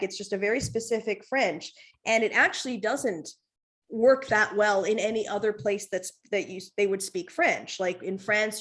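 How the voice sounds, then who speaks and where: Chatty rambling woman. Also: medium pitch. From North America. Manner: rambling, animated, laughs often, many restarts. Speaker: podcast guest, comedian. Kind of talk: casual conversation.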